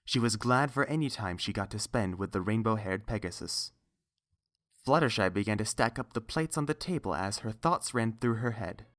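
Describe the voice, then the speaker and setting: A woman's voice: a bass voice, expressive, moderate pace. A woman reading a scripted story aloud as the narrator.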